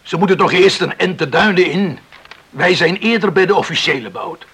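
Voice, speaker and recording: A man's voice: sits high, moderate pace, fairly bright tone, breathy edge, heavily acted. A man acting an earnest, formal rescue committee official in a radio drama. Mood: persuasive.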